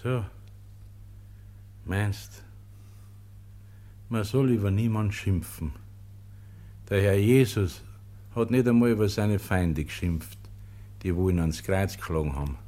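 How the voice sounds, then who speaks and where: An actor playing a pious, humble monastery porter in a German radio play, speaking simply and calmly with a Bavarian accent. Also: male voice, fairly low pitch, slow, long pauses. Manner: calm, simple, gently admonishing.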